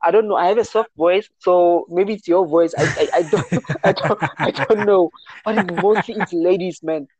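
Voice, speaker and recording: A man's voice: sits high, moderate pace, darkish tone, low-quality recording. A man co-hosting a casual MMA podcast over a remote call, easygoing, with frequent "yeah" and "um". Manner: easygoing, says "yeah" and "um" often. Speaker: podcast co-host.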